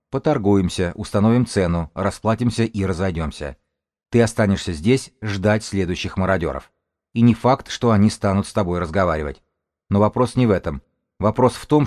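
A man's voice: on the low side, dry recording, studio quality, natural voice. A man as a bold, commanding young woman. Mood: calm, firm. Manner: shouts challenges, blunt and taunting.

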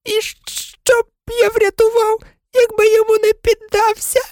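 A woman voicing a cowardly, pleading little man in a thin, whining squeak for a storybook reading. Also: completely flat delivery, measured pace, darkish tone, dry recording, clean studio sound. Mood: desperate wailing. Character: cowardly, whimpering, pleading balding man, traitor.

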